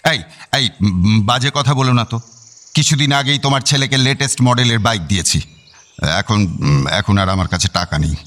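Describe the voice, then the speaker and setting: A man's voice: medium pitch, moderate pace, long pauses, a bit bright, natural voice. A man acting a stingy, suspicious middle-aged father in a radio drama, grumbling and hesitating as he talks. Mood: wary, grumbling.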